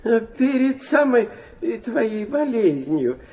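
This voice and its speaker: Slow, dithering man. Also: very high voice, sing-song, darkish tone, full character voice. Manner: halting, rambling, full of hems. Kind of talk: radio play acting.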